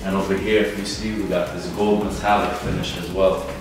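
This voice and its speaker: A man's voice: low voice, level tone, a little fast, low-quality recording. Hyped man touring a factory floor. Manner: hyped, street-casual slang. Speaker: vlog host touring a wheel factory. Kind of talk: casual walkthrough tour. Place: large indoor factory floor. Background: background music.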